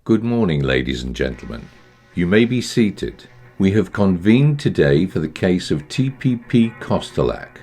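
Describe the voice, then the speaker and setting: A man playing a formal courtroom judge who runs the proceedings in a measured, procedural way. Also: low-pitched, very animated, mellow, full character voice. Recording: music playing underneath.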